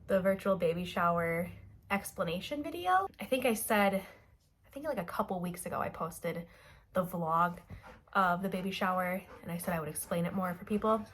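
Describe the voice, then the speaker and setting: A woman's voice: fairly low pitch, animated, moderate pace, fairly bright tone. Chatty young woman with a stuffy nose. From Wisconsin, USA. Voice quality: nasal. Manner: chatty, rambling with many fillers like "um" and "like". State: stuffy nose from a cold, slightly nasal. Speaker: vlogger. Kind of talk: casual chat to camera. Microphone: camera mic.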